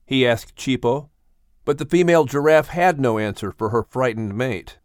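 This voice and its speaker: A man with a mid-range voice reads a children's adventure story as narrator. His scripted delivery is expressive, and the sound is close and dry. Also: medium pitch, moderate pace, no room sound. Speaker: audiobook narrator.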